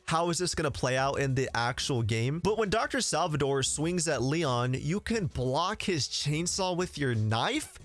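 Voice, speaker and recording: A young man giving excited, informal and emphatic game commentary, often repeating words for emphasis. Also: a little high, measured pace, few pauses. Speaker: gaming video creator. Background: music under the voice.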